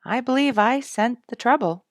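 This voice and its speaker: A woman voicing a knowing, calm outdoorsman in a storybook, measured, helpful and gently wry. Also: a little high, no room sound, studio quality, pitched above the performer's own voice, lightly acted.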